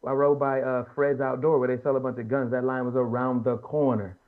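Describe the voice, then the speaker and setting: A man in his late thirties speaks quickly in an earnest, colloquial way, as if arguing a point among fellow panelists. His pitch sits in the middle for a man, and he often rephrases for emphasis. The audio is muffled and lo-fi. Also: flat delivery. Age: late 30s. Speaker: podcast panelist. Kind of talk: casual opinionated panel talk.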